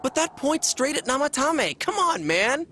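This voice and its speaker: A man's voice: extremely high pitch, very animated, normal speed, noisy background. A man voicing an earnest, restless teenage boy in a video game dub, casual and questioning. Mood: insistent.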